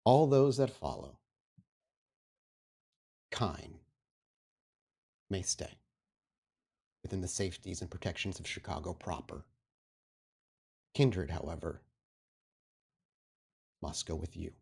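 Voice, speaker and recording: A man playing a stern, calculating vampire prince in a tabletop game, speaking formally and deliberately. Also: low-pitched, creaky, dark tone, breathy edge, heavily acted. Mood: measured authority. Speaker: storyteller playing a character.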